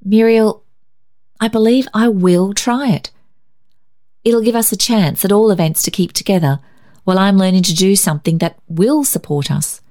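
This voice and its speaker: A woman's voice: medium pitch, normal speed, dark tone, natural voice. A woman reading a story, voicing an earnest, anxious young woman with an emotional, hesitant manner. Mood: wavering resolve. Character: Barbara, earnest, easily shocked young woman, anxious sister.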